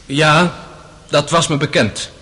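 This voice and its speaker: An actor playing a gentle, honest young former novice in a radio drama, speaking plainly and politely. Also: male voice, a little high, fairly even intonation, takes it slowly. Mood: earnest, calm.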